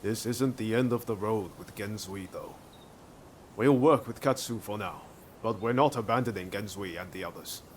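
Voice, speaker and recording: A man's voice: lowish voice, moderate pace, a little hiss or hum, lightly acted. A man playing an earnest, idealistic samurai in a video game cutscene, speaking informally and reflectively. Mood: resolute, reflective. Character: earnest, idealistic male samurai eager for change.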